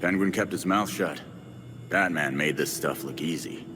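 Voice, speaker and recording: A man's voice: extremely low pitch, normal speed. A man playing a hot-headed, blunt young vigilante hero in a video game cutscene. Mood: brooding. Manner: blunt, intense. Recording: long reverb, music behind.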